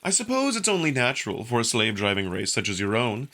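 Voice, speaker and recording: A man's voice: mid-range voice, sing-song, quick pace, fairly bright tone. A man voicing a suave, smugly curious male jackal alien in a visual novel, with polite, needling, unruffled delivery. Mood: mock apologetic. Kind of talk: visual novel character acting.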